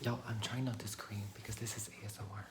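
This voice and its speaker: A man's voice: on the low side, level tone, fast, noisy background. A young man in his twenties, whispering an ASMR-style vlog from the floor, dramatic and self-mocking. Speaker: vlogger. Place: sitting on a floor indoors.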